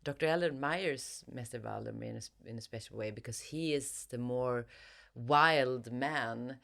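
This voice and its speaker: A woman's voice: rumbling low, creaky, fairly bright tone. A woman with a Swedish accent, an author who speaks thoughtfully as an interview guest or reading from her own writing. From Sweden. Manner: halting, searching for words. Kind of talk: thoughtful interview talk and reading aloud from a novel.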